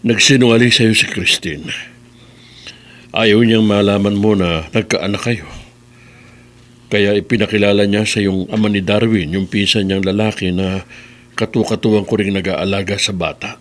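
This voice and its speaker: Older male family elder played by an actor, radio drama: low pitch, grave, caring, measured delivery. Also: fairly even intonation, natural voice. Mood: confiding, solemn. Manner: serious, measured, explanatory. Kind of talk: Filipino radio drama acting.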